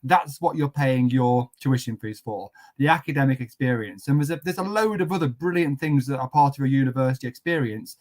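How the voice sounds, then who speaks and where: A man hosting a relaxed online student panel, jovial and teasing as he hands the floor to each guest. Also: mid-range voice, a little fast, few pauses. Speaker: host.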